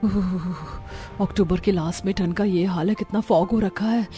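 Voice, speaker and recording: A woman's voice: medium pitch, fairly even intonation, moderate pace, mellow, soft background music, close to an everyday voice. A woman voicing a young newlywed bride in a cartoon family drama, polite but given to grumbling and mixing in English phrases. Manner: mixes English phrases into speech.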